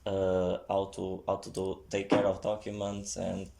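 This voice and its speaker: A man speaking English as an as a podcast guest, hesitant with many pauses. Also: deep voice, completely flat delivery, creaky, warm tone. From Portugal. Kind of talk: casual chat.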